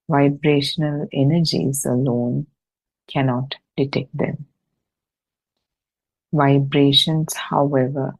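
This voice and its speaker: A woman reading a religious discussion text aloud for an online study session, in a measured, deliberate way with pauses between short phrases. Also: sits low, creaky, dark tone, poor audio. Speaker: reader and host of an online Buddhist study session. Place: online video meeting.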